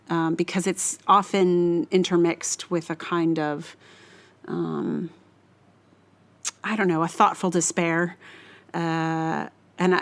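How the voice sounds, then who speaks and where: A woman podcast host with a slightly low voice. She talks casually, thinking aloud as she goes. Her delivery is hesitant and rambling, often breaking off and starting again. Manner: hesitant, rambling, self-interrupting.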